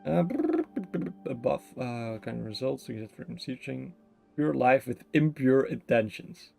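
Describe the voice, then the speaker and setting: A man's voice: medium pitch, very animated, moderate pace, gravelly, dark tone. A man giving casual, playful live commentary as he streams a game, joking as he goes. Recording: faint game music behind.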